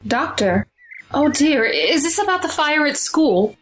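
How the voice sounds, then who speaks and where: A woman's voice: sits high, sing-song, unhurried, soft background music. An actress playing a protective, fretting mother of a schoolboy in an audio drama, with a nagging, anxious manner. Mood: wary.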